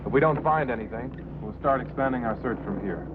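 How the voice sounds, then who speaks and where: A man plays a no-nonsense pilot in an old film drama. He talks fast and curtly at a middling pitch. The narrow soundtrack carries clear engine noise. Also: close to an everyday voice. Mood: businesslike. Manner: curt, businesslike. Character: terse, no-nonsense helicopter pilot. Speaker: actor. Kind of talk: mid-century American film drama acting.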